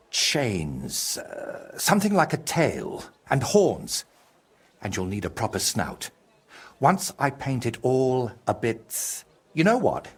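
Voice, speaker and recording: An actor playing a troubled, scheming male painter in a video game, excitable and theatrical, with a husky voice. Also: medium pitch, full character voice. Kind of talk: video game character dialogue.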